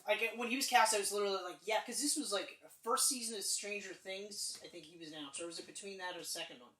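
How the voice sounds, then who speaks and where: A man's voice: high voice, fast, sharp tone. A man co-hosting a podcast, chatting casually about movies in a rambling way, full of fillers and restarts. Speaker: podcast co-host. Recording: roomy echo, mic distant.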